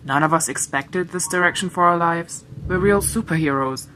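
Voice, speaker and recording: A man's voice: on the high side, normal speed, bright, forceful tone. A man playing a brash, loyal teenage superhero in an audio drama, talking in casual slang. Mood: easygoing, earnest. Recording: clear background noise, clicks.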